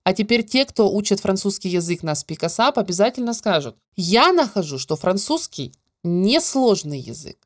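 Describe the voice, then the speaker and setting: A woman's voice: mid-range voice, big pitch swings, no room sound, clean studio sound. Woman teaching French grammar in Russian. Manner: clear, measured explaining, drawn-out example words. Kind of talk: scripted grammar lesson narration.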